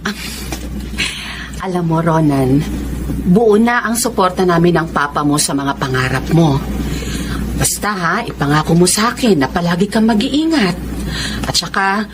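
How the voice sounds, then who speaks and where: A woman's voice: on the low side, normal speed, pauses often, lightly acted. Woman acting a protective filipino mom, tender and reassuring, radio drama, noisy ambience behind her. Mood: caring. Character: loving, protective mother of a young boxer.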